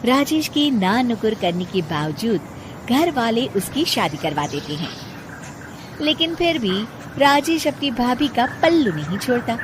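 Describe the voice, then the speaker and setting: A woman's voice: a little high, big pitch swings, measured pace. A woman narrating a scripted cartoon moral story, with background noise behind her.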